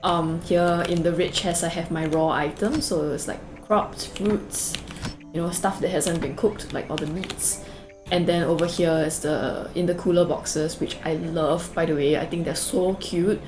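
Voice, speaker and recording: Chatty Singaporean woman, low-pitched. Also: fairly even intonation, measured pace. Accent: Singaporean English. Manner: chatty, rambling, many fillers and "like"s. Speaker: gaming video creator. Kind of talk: casual game tour narration. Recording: faint background music.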